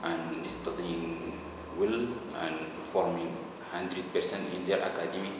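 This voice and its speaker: Young male student president, low voice, halting formal delivery, reverberant hall. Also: little pitch movement, noisy background. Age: young adult. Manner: halting, pausing between short phrases. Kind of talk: formal introductory speech to camera. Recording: echoey hall, music behind.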